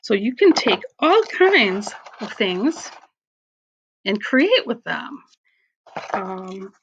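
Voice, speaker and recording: A woman schoolteacher talking casually and instructively to children, expressive and encouraging them to use their imagination. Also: on the high side, muffled recording, slight background noise. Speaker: elementary school teacher.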